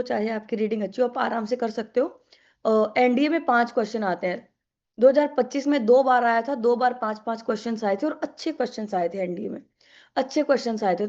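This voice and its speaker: A woman's voice: sits high, a little mellow, muffled recording. A young woman teaching an online exam-prep English class with a sore throat, chatty and coaxing, mixing English into her Hindi.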